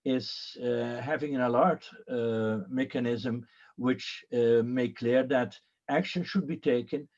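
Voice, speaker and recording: A man's voice: medium pitch, slow, darkish tone. Hesitant man on a muffled call. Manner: hesitant, many fillers and restarts. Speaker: panelist. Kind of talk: conference panel remarks.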